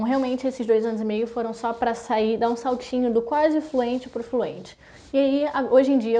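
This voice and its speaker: A young woman from Brazil talking casually to her viewers, listing things in quick chatty runs. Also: medium pitch, mellow. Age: young adult. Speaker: vlogger. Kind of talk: casual talk to camera.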